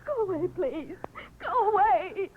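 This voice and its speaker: Shrill, hysterical crying woman. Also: expressive, slow, full character voice. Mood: hysterical terror. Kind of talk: 1950s radio drama acting. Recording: very noisy, tinny old radio recording.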